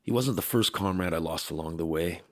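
An actor playing a weary, determined military veteran with failing implants in an audio drama, gritty and urgent. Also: male voice, low-pitched, fairly quick. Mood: grim narration. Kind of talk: cinematic audio drama acting with noir narration.

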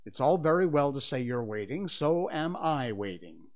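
Man acting a pompous, blustering father, swooping pitch. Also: big pitch swings, moderate pace. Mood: indignant, blustering. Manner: pompous, indignant, self-justifying. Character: pompous, blustering, cowardly father of young children.

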